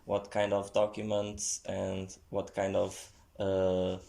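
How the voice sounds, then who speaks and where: A man speaking English as an as a podcast guest, hesitant with many pauses. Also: sits low, completely flat delivery, creaky, dark tone. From Portugal. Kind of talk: casual chat.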